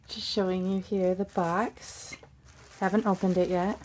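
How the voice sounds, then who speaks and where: A hoarse woman hosting a casual crafting unboxing from her craft desk, chatty and bubbly, with little asides and puns. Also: medium pitch, little pitch movement, takes it slowly, a little mellow, a little hiss or hum. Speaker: crafting channel host.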